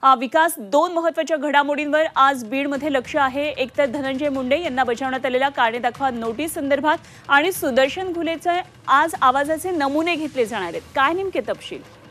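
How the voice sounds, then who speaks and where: A woman's voice: high voice, brisk, a bit bright, a little hiss or hum. A woman reading the news as a studio anchor. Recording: music bed behind voice.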